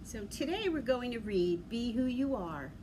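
A middle-aged woman talking to young children as a library story time host, in an animated, sing-song way with simple questions. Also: a little high, a little slow. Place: large library room with big windows, indoors. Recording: clear background noise, room ambience.

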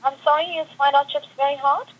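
A woman's voice: high-pitched, steady tone, fast. A woman working as a customer service agent, talking on a phone call heard over speakerphone. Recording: noisy muffled speakerphone call.

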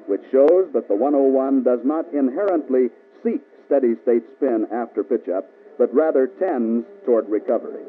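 An American man reading scripted instructional narration for a mid-century military training film, measured, authoritative and precise. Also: medium pitch, phone-quality sound. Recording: band-limited old film soundtrack with music.

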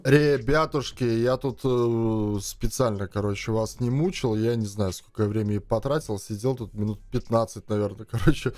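A man's voice: on the low side, fairly even intonation, normal speed, few pauses, darkish tone. A young man streaming a mobile game, chatting casually and thinking aloud to his viewers from a headset in a small room. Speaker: gaming streamer. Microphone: headset, webcam framing. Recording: dry close sound, faint music behind.

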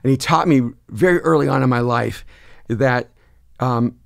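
A man in his sixties, born in El Salvador, speaking as a pastor and author guest on a podcast. He tells stories reflectively, with quick bursts of speech that slow down for emphasis. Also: medium pitch, creaky. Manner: expansive, gestures a lot, quick bursts then slow emphasis. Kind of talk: reflective conversational storytelling. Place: dark podcast studio. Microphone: studio mic on a boom, close.

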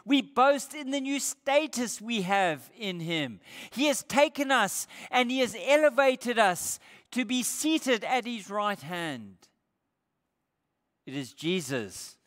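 An older man preaching a sermon as pastor, emphatic, lifting his voice on scripture quotes and dropping low for quiet points. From Australia. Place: church stage with brick wall. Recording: church hall with long reverb.

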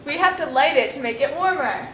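A teenage girl co-hosting a playful mock cooking show with short, deadpan lines and giggles. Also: sits high, a little fast, music in the background. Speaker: co-host. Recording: echoey room with faint hum.

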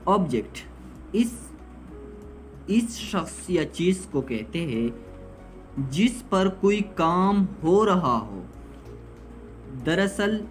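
Higher-pitched man teaching, noisy recording. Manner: repetitive, question-and-answer explaining. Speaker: English grammar teacher. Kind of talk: explanatory lesson, translating examples.

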